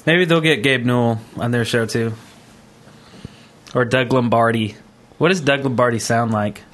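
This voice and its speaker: Easygoing chatty male podcast host, medium pitch. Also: normal speed, fairly bright tone. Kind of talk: casual chat.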